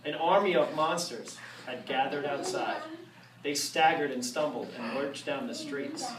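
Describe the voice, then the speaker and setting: A man's voice: fairly high pitch, sing-song, unhurried. Playful man reading aloud in an echoey room. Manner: playful, jokes between rhymes. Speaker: reader at a storytime. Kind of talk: reading a rhyming picture book aloud. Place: room with a live audience. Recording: echoey room from far off, noisy.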